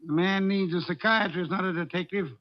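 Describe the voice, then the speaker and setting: A man's voice: high voice, steady tone, a little fast, lightly acted. A man playing a fat, lazy, imperious older detective in an old radio drama, speaking in a haughty, fussy, precise manner. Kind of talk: 1940s radio acting. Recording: dull lo-fi old radio recording.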